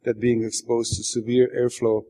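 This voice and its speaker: A man from Bosnia, a native Bosnian speaker with a Bosnian accent, reads literary prose aloud as its author in a wry, deadpan manner. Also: mid-range voice, normal speed, rough recording. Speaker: author reading his own work. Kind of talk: literary reading aloud to an audience.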